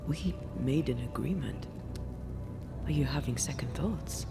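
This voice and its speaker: Solemn, devoted female spirit for a game drama: measured, hushed, slow, slightly gravelly. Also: rumbling low, animated, full character voice. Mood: resolute, searching. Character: ghost woman, detached from life, devoted to her lover. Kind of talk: dramatic video game dialogue. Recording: music under the voice.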